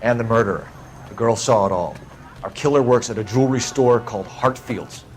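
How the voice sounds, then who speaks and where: An actor playing a stern, formal police officer in a video game drama, with a clipped, authoritative manner. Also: male voice, mid-range voice, brisk, a little mellow, slight background noise, close to an everyday voice. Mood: businesslike.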